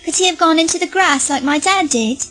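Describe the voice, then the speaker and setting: Woman acting very high-voiced timid little girl. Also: brisk, fairly bright tone, faint music behind. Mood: timid, curious. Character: timid, curious, polite young girl. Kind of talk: audio drama acting.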